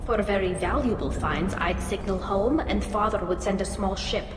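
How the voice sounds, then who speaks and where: A woman's voice: medium pitch, normal speed, lightly acted. Woman as an earnest alien engineer, echoing hall. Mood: matter-of-fact. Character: earnest young female alien engineer, loyal, defensive. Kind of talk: video game dialogue. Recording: echoey hall reverb, loud music behind.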